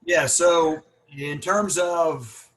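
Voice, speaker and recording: A man's voice: a little high, fairly even intonation, drawn-out words, fairly bright tone. A man who stutters, hosting a casual podcast conversation and musing aloud, often ending his points with a questioning "right?". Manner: reflective, ends with "right?". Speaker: podcast host.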